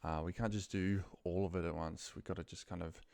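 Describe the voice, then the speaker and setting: Male instructor, deep and fry-edged, casual unscripted software walkthrough with a muttered, thinking-aloud manner. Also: fairly even intonation, fairly quick, dry recording. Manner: informal, muttering to himself while working. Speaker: instructor demonstrating a spreadsheet task. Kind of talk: casual screen-share tutorial, unscripted.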